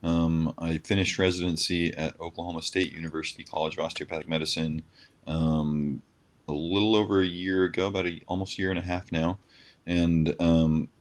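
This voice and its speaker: A young man, a new doctor introducing himself on a video call in a soft-spoken, halting way. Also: extremely low pitch. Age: young adult. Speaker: panelist, pediatrician.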